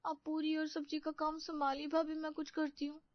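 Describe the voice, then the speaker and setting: A woman voicing a young, quick-witted daughter-in-law in a family story, complaining and glib in manner. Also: sits high, completely flat delivery, no echo, muffled recording, close to an everyday voice. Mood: flustered.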